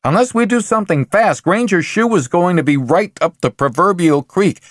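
A man voicing a blunt businessman who draws out his words slowly and deliberately. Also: high-pitched, expressive, no echo, studio quality, voice pushed up. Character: slow, blunt businessman, fashion coordinator.

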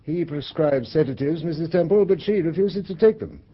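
An actor playing an earnest, exasperated gentleman in a radio drama, speaking formally and emphatically. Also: on the high side, a little fast, husky. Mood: exasperated, concerned. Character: earnest, exasperated gentleman worried for his sister. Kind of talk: vintage radio drama acting. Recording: dull vintage radio recording.

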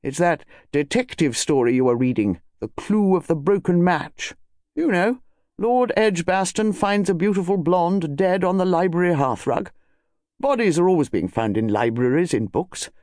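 A man's voice: sits high, expressive, moderate pace, a little mellow, no echo, clean studio sound, pitched above the performer's own voice. A man playing a patronising, grumbling older English colonel and husband in an audiobook story. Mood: kindly, dismissive.

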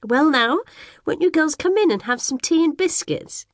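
A woman voicing a fussy, nosy elderly neighbour with a high, fluting voice. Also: expressive, normal speed, dry recording, studio quality. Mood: insistent.

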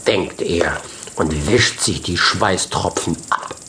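A man narrating a children's audiobook expressively, in short measured phrases. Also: deep voice, pushed and bright, slight background noise. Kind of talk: scripted children's story narration.

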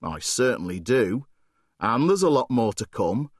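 A man's voice: mid-range voice, a little slow, no echo, studio quality. A man voicing an amused middle-aged father who teases his son. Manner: teasing, relaxed.

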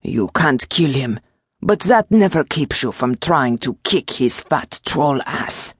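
Woman doing a husky little French gargoyle. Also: lively intonation, slow, no room sound, studio quality, exaggerated voice. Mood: anxious, pleading. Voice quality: low, husky, lilting. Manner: formal, courtly phrasing with endearments. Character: small pathetic male gargoyle demon, wry and fretful.

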